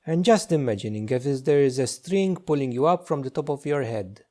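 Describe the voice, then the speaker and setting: A man leading a guided meditation as a teacher, speaking gently and slowly with long pauses. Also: medium pitch, animated. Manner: slow, hushed, gentle delivery with long pauses.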